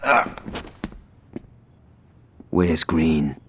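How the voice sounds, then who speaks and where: A man's voice: a bass voice, lively intonation, drawn-out words, a bit bright, slight background noise, heavily acted. An actor playing a brash, hot-headed male gangster who is cocky, sarcastic and foul-mouthed. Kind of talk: video game cutscene acting.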